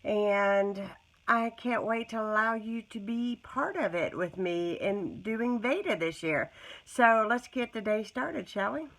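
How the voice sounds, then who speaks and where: A woman's voice: medium pitch, animated, slow, a bit bright, low-quality recording. Chatty Appalachian woman, froggy morning voice. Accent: Appalachian American. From West Virginia, USA. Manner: chatty, homey, rambling with fillers. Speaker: home vlogger. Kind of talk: casual chat to camera, grocery haul. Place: small bedroom indoors. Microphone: phone at arm's length.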